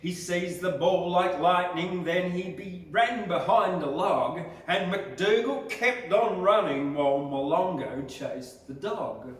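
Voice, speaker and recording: Slow-paced man reciting in an echoey space. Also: high voice. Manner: theatrical, deliberate storytelling delivery. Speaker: poetry reciter. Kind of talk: dramatic bush ballad recitation. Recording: very echoey, distant microphone.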